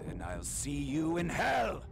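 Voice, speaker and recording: Menacing, theatrical game villain, lively delivery. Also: male voice, fairly high pitch, takes it slowly, creaky, soft background music, full character voice. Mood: defiant. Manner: theatrical, threatening. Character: impatient, menacing male villain craving a throne. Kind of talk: video game cutscene voice acting.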